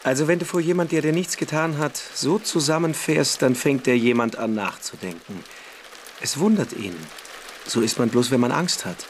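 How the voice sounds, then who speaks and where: A man plays a calm, knowing older schoolboy in a radio drama, persuasive and a little mysterious. His intonation moves widely, in an echoey room with faint noise. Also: mid-range voice, natural voice. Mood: calm, probing. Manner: deliberate, persuasive, quietly superior. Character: self-assured, precocious older schoolboy, calm, probing and mysterious. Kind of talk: radio play acting.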